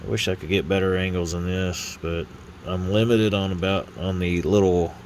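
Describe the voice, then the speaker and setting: A man's voice: low voice, normal speed, a little hiss or hum. A man with a stuffy nose from a sinus infection, casually talking through a model build at a table, with frequent uh's and restarts. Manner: rambling, relaxed, frequent uh and restarts. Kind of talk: casual hands-on build commentary. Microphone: phone on a holder arm.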